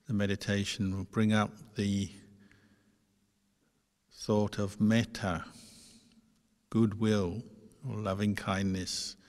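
A man guides a meditation in a low, very even voice. He speaks slowly and gently, leaving long pauses between short phrases. The sound carries a long reverb, as in a large hall. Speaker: Buddhist monk leading guided meditation.

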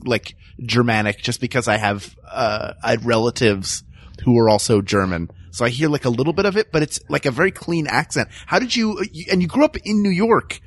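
A man's voice: medium pitch, breathy edge, no room sound. A man co-hosting a comedy podcast, asking his guest friendly questions. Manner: friendly interviewer, asks questions. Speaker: podcast co-host. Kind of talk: casual comedy podcast chat.

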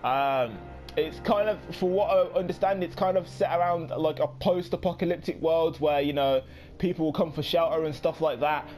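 A man's voice: a little high, moderate pace, darkish tone. Young guy rambling through a let's play, lots of ums, keeps saying sorry, bit of music behind. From United Kingdom. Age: young adult. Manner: rambling, many ums and restarts, apologetic. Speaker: gaming YouTuber. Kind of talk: casual let's play commentary.

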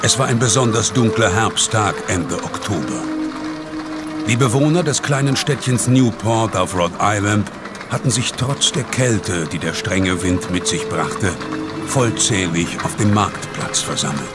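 A man narrating a horror radio play in a solemn, measured, dramatic storytelling style. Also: on the low side, expressive. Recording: dramatic music behind the narration.

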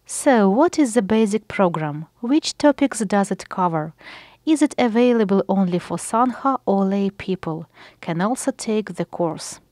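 A woman's voice: medium pitch, lively intonation, a little slow, a little mellow, dry recording. A woman interviewer asking polite, formal questions.

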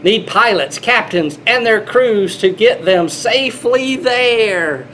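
Middle-aged man, unhurried picture-book reading, playful child-directed delivery, exclamations, noticeable background noise. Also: sits high, animated, bright, forceful tone. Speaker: grandfather reading to children, air traffic controller. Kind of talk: children's picture-book read-aloud with commentary. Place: small indoor airport control room.

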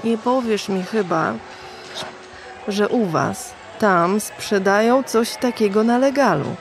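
An actress playing a brash, crude, streetwise prostitute in a dystopian audio drama, mocking and slangy. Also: female voice, medium pitch, slow pace, soft background music. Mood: hostile, suspicious. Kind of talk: radio drama acting.

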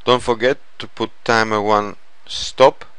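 Man, low-pitched clear voice, slow halting tutorial narration, step by step. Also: steady tone, fairly bright tone, dry recording. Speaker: programming tutorial presenter. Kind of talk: informal screencast tutorial narration.

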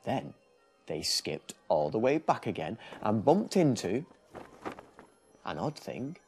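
A British man in his thirties reading a children's bedtime story in a gentle, deliberate, expressive storytelling style. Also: fairly low pitch, gravelly, soft background music. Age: 30s. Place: TV studio set.

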